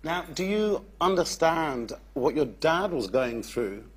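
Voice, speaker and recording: A man's voice: on the high side, sing-song, slow pace. A man, putting chatty questions as host of a live on-stage interview. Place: theatre stage before an audience.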